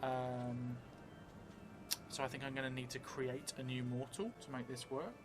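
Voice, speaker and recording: A middle-aged man narrates a solo roleplaying game, thinking out loud at a slow pace. His delivery is halting and musing, at an average pitch for a man, and music plays clearly behind him. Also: level tone. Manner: halting, musing, trailing off as he writes. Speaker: solo tabletop roleplay streamer. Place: indoor theatre room, seated at a table.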